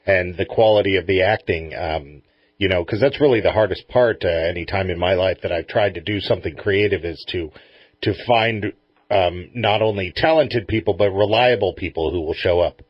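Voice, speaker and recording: A husky man in middle age hosts a casual radio interview. His voice sits on the low side, close and dry, with a slightly lo-fi dullness. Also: normal speed, no room sound. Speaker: radio show host.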